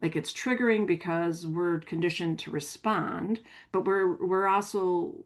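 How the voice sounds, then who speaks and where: A woman's voice: lowish voice, measured pace. An American woman co-hosting a podcast, explaining things conversationally in a reassuring way. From American Midwest. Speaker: podcast co-host, pet behavior consultant.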